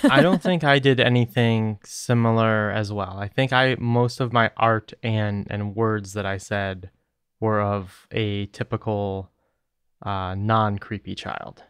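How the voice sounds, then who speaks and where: A man guesting on a podcast, deadpan and mock-defensive in casual chat. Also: lowish voice, slow, clean tone, dry recording, clean studio sound.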